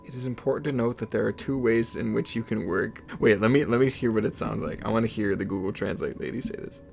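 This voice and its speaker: A man whose first language is Igbo, hosting a casual vlog in an excitable, swearing, often disbelieving way. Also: on the low side, fast, creaky, slight background noise. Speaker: YouTube host.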